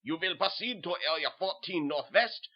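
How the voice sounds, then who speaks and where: A man voicing a brisk, commanding German army officer with a rough voice and a German accent in a horror story. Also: high-pitched, muffled recording, voice pushed up.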